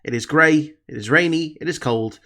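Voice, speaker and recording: A man's voice: on the high side, moderate pace, sharp tone. A young British man with a slight head cold, chatting casually about a show with constant fillers and restarts. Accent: British English. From Britain. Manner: rambling, many fillers and restarts. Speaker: reaction video host. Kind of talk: casual review chat.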